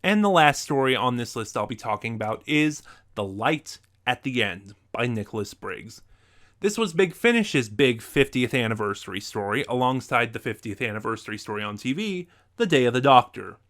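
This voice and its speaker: Male video reviewer, mid-pitched, talking viewers through semi-scripted commentary. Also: sing-song, normal speed, a bit bright.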